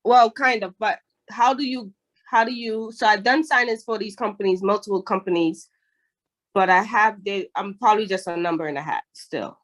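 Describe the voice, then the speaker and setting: A woman's voice: mid-range voice, moderate pace, fairly bright tone. A woman calling in to a video call with a question, hesitant and restarting often. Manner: hesitant, restarts, self-described introvert. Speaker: caller asking a question.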